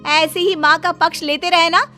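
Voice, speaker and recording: A woman's voice: very high-pitched, fairly even intonation, normal speed, bright, forceful tone, soft background music. A woman playing a quarrelsome, boastful young daughter-in-law in a cartoon family drama, sarcastic and dramatic. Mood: indignant.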